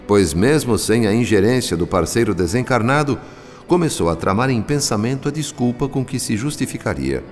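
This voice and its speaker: An actor playing a solemn, devout narrator, telling the story theatrically in a radio drama. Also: male voice, low-pitched. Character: solemn, devout male spirit narrator, measured and moralizing. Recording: long cavernous reverb.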